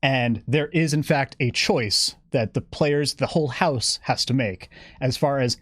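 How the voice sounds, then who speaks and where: A man's voice: mid-range voice, measured pace, a bit bright, slightly rough, no room sound. Guy explaining game stuff casually, kinda wry and into it, dry sound no room. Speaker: guest game developer.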